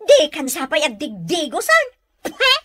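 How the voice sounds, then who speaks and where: A haughty housewife with an Ilocano accent, in radio comedy style. She is high and sharp in tone, with lively swings of pitch. Her manner is curt, scornful and full of boasting. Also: measured pace, a little hiss or hum, full character voice. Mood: boastful, scornful.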